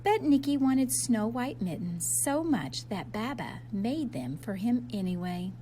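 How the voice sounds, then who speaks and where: A woman reads a storybook to young children at a slow, measured pace. Her delivery is expressive and teacherly, speaking directly to her little listeners. There is some light noise behind her voice. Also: fairly high pitch. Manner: expressive, addressing young listeners with simple questions and asides. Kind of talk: children's picture-book read-aloud.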